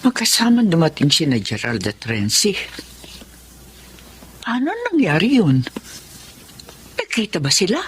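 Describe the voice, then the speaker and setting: A woman's voice: lowish voice, very animated, a little slow, pauses often, slight background noise. A Filipino woman acting a timid, deferential older housemaid in a radio drama. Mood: musing.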